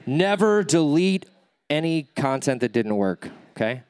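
A man's voice: on the high side, unhurried, smooth, dry recording, studio quality. A man with a nasal voice giving a live workshop talk, coaching the audience and checking in with them as he answers questions. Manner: energetic, coaching, checks in with "okay?" and "right?". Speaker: workshop speaker. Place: event stage.

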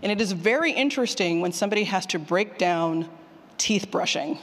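An animated presenter speaks to a live session in a conversational, emphatic way, like a teacher addressing students. The voice sits at a middling pitch and carries the long reverb of a hall. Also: fairly quick. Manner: animated, informal, emphatic, repeats questions aloud. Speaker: speaker presenting a writing workshop, former college teacher. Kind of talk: conference talk with audience questions. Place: indoor conference session room or hall.

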